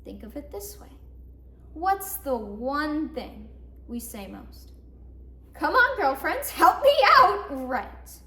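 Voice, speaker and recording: Young woman acting a bitter, high-voiced asylum patient. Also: sing-song, takes it slowly, heavily acted. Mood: wry, confiding, then sarcastic, exasperated. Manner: sardonic, theatrical, emphatic, swinging from hushed to loud. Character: bitter, wry woman patient confined in a mental hospital. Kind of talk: dramatic monologue, competition interpretation. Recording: roomy echo, faint noise, distant mic.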